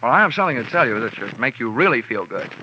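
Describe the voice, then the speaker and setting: Cynical insurance sleuth, mid-pitched male voice, dry wisecracks, vintage radio drama, dull lo-fi recording. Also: fast talker, a little hiss or hum. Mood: flippant, probing. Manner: wry, deadpan wisecracking. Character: wisecracking, cynical freelance insurance investigator, a man. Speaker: radio actor, lead and narrator. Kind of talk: old-time radio crime drama acting and narration. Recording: band-limited old radio recording with music.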